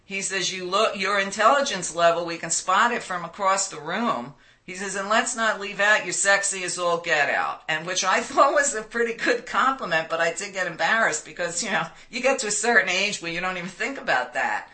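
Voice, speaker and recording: A bright, forceful woman in her sixties tells her stories loudly and with plenty of animation. She laughs easily as she talks, and her voice carries. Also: medium pitch, brisk. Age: 60s. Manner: loud, animated storyteller who laughs often. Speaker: guest. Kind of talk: conversational interview.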